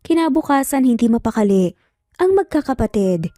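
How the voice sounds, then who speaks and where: A woman's voice: a little high, sing-song, moderate pace, warm tone, dry recording, clean studio sound, faint music behind. A woman reading a scripted story dramatically as a storyteller.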